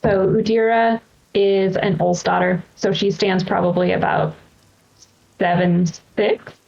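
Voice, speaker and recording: Creaky-voiced woman on a dull mic. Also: medium pitch, little pitch movement, unhurried, darkish tone. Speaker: tabletop game player. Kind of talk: casual improvised character description.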